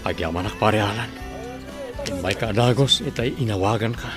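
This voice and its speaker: A man's voice: fairly low pitch, moderate pace, lightly acted. An actor playing a troubled, earnest village husband and father in an Ilocano radio drama, with an Ilocano accent. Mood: dejected. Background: music.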